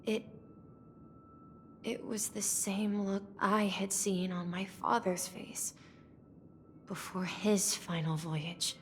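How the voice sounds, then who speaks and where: A woman playing a wistful, earnest young boy in a video game story, speaking softly and hesitantly. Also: mid-range voice, steady tone, slow, long pauses, a little hiss or hum, natural voice. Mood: solemn, reminiscing.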